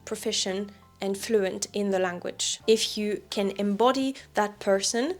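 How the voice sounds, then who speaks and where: Woman giving chatty language tips. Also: mid-range voice. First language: French. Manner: chatty, relaxed, with light laughs. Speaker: language teacher. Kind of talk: casual advice vlog.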